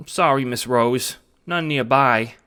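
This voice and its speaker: A man voicing an eager, nervous, childlike cat sprite in an audio drama, hesitant and excitable. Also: mid-range voice, takes it slowly, smooth, voice pushed up. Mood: anxious.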